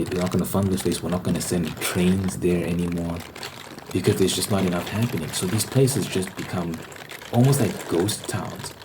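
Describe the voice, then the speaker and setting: A man's voice: low-pitched, background noise. A man hosting an unscripted ASMR ramble, explaining his opinions and repeating points for emphasis. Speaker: host of a rambling ASMR channel.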